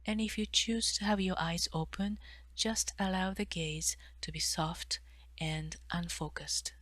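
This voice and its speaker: A woman with a slightly low voice narrates a guided meditation. Her delivery is soft and breathy, with measured pauses between phrases. The sound is very dry, with hardly any room in it. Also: fairly even intonation, no echo.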